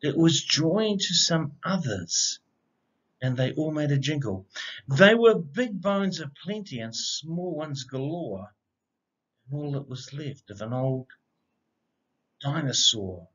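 A middle-aged man reads a children's story aloud. His delivery is expressive and unhurried, with animated pitch, and the recording is slightly dull and lo-fi. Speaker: storybook reader, educator. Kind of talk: children's read-aloud of rhyming picture book. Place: indoor room. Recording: slightly dull, lo-fi webcam sound.

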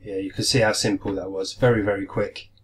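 A man's voice: on the low side, level tone, fairly quick. A man in his thirties with a cold coming on, casually reviewing an aquarium product, hesitant and full of ums. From United Kingdom. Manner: hesitant, many ums and restarts. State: getting a cold, voice going slightly. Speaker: aquarium hobby vlogger. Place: small room indoors at a desk. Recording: distant mic, faint room noise.

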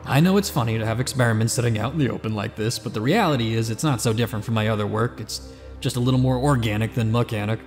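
Eccentric male scientist, thirties, played by an actor: medium pitch, glib, boastful, excitable audio drama delivery over music. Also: quick pace, lightly acted. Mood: showy, eager. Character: eccentric thirty-five-year-old male inventor, mad scientist, boastful.

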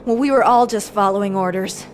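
A hard, determined female operative acted by a woman speaks in clipped, no-nonsense lines. Her pitch is average, and prominent music plays behind her like a game cutscene. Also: fairly quick, close to an everyday voice. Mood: angry, menacing. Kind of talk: video game voice acting.

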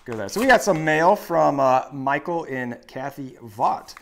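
A man's voice: on the high side, animated, unhurried, vocal fry, a bit bright, slight background noise. Een man van in de dertig die als presentator losjes en uitleggerig over gereedschap praat, met een dasspeldmicrofoon in een werkplaats. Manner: chatty and explanatory, with restarts and laughs. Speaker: co-host of a woodworking live show. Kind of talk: casual live-stream chat. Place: home woodworking shop, indoors. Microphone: lapel mic.

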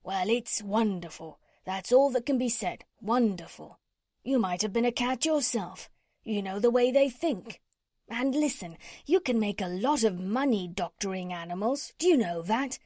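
A woman's voice: medium pitch, lively intonation, moderate pace, dark tone, dry recording, studio quality, close to an everyday voice. A woman voicing a chatty, scheming working-class tradesman from a children's storybook, with a folksy, persuasive, ungrammatical way of talking. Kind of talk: children's storybook character reading.

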